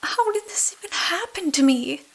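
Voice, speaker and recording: A woman voicing a naive, excitable little puppet boy in a bedtime story, earnest and stammering. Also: extremely high pitch, moderate pace, voice pushed up, rough recording. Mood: distressed.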